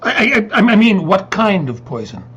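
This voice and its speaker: A man's voice: high voice, very animated, normal speed, fairly bright tone, breathy edge, heavily acted. A man playing a timid, polite funeral director in a comic readers theater piece, stammering and hesitant with flustered courtesy. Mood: alarmed. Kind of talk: comedic readers theater acting. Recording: dull muffled audio with faint hum.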